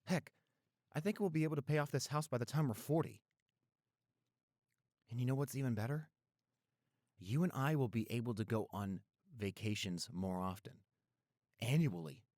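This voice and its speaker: A man playing a caring, apologetic young boyfriend in an intimate roleplay audio, speaking earnestly and gently. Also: mid-range voice, animated, normal speed, pauses often, no room sound, studio quality, lightly acted. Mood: earnest, reassuring. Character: apologetic, caring young boyfriend soothing his upset girlfriend.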